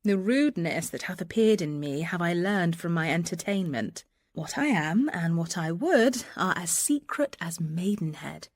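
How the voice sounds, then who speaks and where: An actress playing a bold, passionate young woman disguised as a gentleman messenger, speaking verse earnestly and lyrically in a Shakespearean radio drama. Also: lowish voice, lively intonation, no echo, clean studio sound. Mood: guarded, polite. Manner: earnest, lyrical, deliberate delivery.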